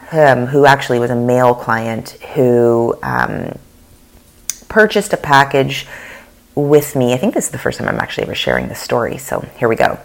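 A woman's voice: extremely low pitch, creaky. A woman, hosting her own podcast and talking earnestly while losing her voice, with fillers and restarts. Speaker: podcast host. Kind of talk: solo podcast monologue.

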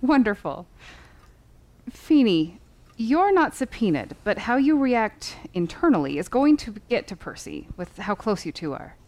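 Female lawyer coach, lively intonation, encouraging, instructive, composed, naturalistic podcast drama. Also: a little high, measured pace. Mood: encouraging, businesslike. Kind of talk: naturalistic fiction podcast acting.

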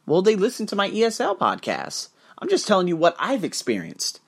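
A man hosting a podcast, delivering an emphatic, profane monologue to camera with sudden rises in pitch and frequent restarts. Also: huge pitch swings, brisk, vocal fry, husky. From United States. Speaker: podcast host.

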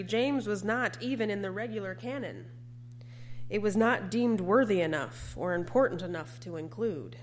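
A woman preaching a sermon to a congregation. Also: medium pitch. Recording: faint background noise and hum.

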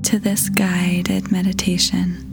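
A woman narrating a scripted guided meditation in a soft, breathy, whispery voice, calm and gentle with long pauses. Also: on the low side. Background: meditation music.

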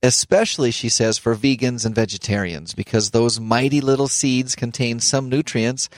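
A man's voice: medium pitch, normal speed, no echo. A middle-aged man hosting a radio health show, chatting casually, laughing often and stumbling over repeated words as he reads. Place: radio studio. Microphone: studio microphone.

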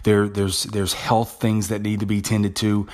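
A man's voice: lowish voice, completely flat delivery, a little slow. A man hosting his own podcast, talking through a personal story in a casual, conversational monologue with frequent restarts. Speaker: podcast host. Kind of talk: motivational monologue.

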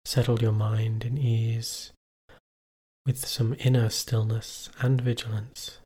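Husky, unhurried man guiding meditation. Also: mid-range voice. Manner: calm, measured, instructional delivery with long pauses. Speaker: meditation teacher. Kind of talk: guided meditation.

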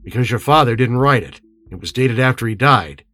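A man's voice: medium pitch, lively intonation, fairly quick, sharp tone, soft background music. A man voicing an angry, insistent male accuser in an audiobook story. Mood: accusing.

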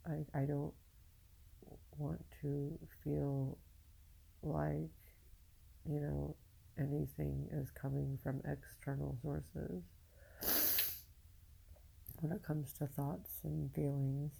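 A woman with a very dark, husky voice talks through her feelings in an unscripted solo podcast. She moves slowly and haltingly, searching for words with long pauses, and sounds downcast and weary. Her delivery is raw and candid, often sinking into a gravelly creak. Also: deep voice, level tone, low-quality recording. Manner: candid, casually profane. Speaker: podcast host. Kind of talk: unscripted personal monologue, confessional.

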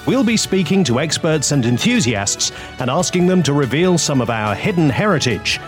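A man reads a scripted podcast opening in a raised, highly animated voice. Clear music plays under him throughout. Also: moderate pace. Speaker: podcast intro announcer. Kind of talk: scripted narration. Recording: music bed behind voice.

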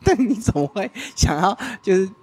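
A man's voice: sits high, expressive, normal speed, a little mellow. A man hosting a casual podcast interview, chatty and curious, often laughing between questions. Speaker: podcast host.

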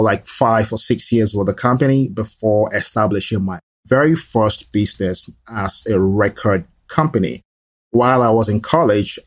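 Low-voiced man telling his story. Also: slightly rough, dry recording. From Nigeria. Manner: relaxed storytelling with frequent "you know". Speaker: guest, small business owner. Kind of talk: conversational life story.

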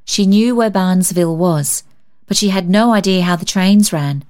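A woman narrates a storybook tale in a moderately low voice. Her reading is scripted and expressive, in the manner of a story reader. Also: normal speed.

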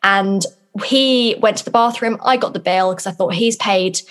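A woman's voice: medium pitch, moderate pace, fairly bright tone. A young British woman co-hosting a podcast, chatting casually and telling stories in a loose, rambling conversational way. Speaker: podcast co-host.

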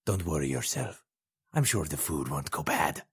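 A man voicing a sly, menacing male potentate who speaks in a slow, gloating, hissing whisper. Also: rumbling low, big pitch swings, vocal fry, fairly bright tone, husky, exaggerated voice.

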